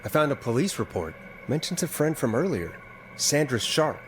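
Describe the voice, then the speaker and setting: A man's voice: medium pitch, sing-song, moderate pace, noisy background, lightly acted. A man playing a thoughtful, puzzled radio host turned investigator in a video game, conversational and musing. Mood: puzzled, investigative.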